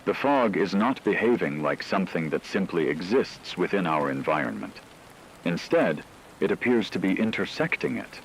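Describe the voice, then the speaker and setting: A man playing a grave radio news anchor, delivering a scripted report in a solemn, formal, measured way. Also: low voice, animated, vocal fry. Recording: noisy, rain-like background ambience.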